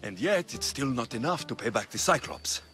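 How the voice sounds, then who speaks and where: An actor playing a young mercenary in a video game, wry and put-upon, with a blunt, grumbling manner. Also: male voice, mid-range voice, sing-song, moderate pace, fairly bright tone, soft background music. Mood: bitter, sardonic. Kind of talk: video game cutscene acting.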